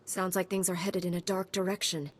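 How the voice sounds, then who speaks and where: A woman's voice: on the low side, flat delivery, fairly quick, husky, in a large hall. An actress playing a principled, composed woman knight in a video game, speaking earnestly.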